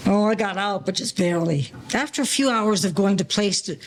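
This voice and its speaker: A woman's voice: medium pitch, brisk, lightly acted. Woman playing a wily, aging gangster, background noise. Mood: wily storytelling. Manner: slangy, mock-formal storytelling. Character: aging male gangster safecracker, wily and menacing. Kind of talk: audio play in 1940s gangster slang.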